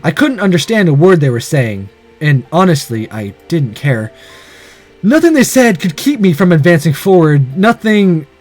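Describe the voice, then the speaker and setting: A man with a high, animated voice narrates a visual novel. He reads dramatically and drops into casual asides, with faint music behind him. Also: normal speed. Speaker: let's player reading a visual novel aloud.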